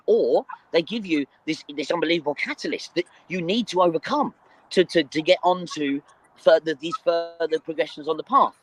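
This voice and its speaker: A man with a fairly high voice talks in a casual spiritual discussion. He is earnest and emphatic, and often stammers, repeating small words as he builds his point. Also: fairly bright tone, no room sound, low-quality recording. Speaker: discussion group participant.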